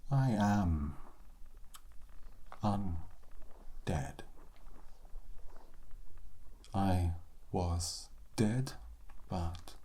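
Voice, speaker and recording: A performer voicing a sassy undead immortal in an ASMR roleplay monologue, halting and sardonic, often slipping into a breathy whisper. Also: low-pitched, expressive, warm tone, full character voice. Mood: dry, wry. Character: sassy undead immortal, musing and scornful of mortals.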